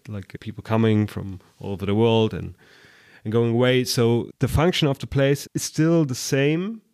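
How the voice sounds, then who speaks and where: A male podcast interviewer talks at an ordinary pitch. He muses conversationally, turning an idea over as he speaks. The tone is friendly and unhurried. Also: a little mellow.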